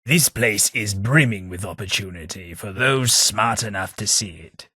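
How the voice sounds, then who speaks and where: A wry undead gentleman. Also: fairly low pitch, normal speed, breathy edge, no echo. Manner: dry wit, formal polite phrasing. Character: old undead London gentleman companion, wry and refined. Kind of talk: game companion voice lines. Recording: dry close studio recording.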